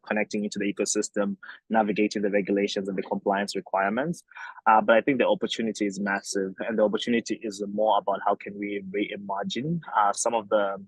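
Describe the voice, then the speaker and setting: A man's voice: on the low side, breathy edge, no echo, low-quality recording. A young man from Zimbabwe, a company founder, answering interview questions thoughtfully with many fillers and restarts.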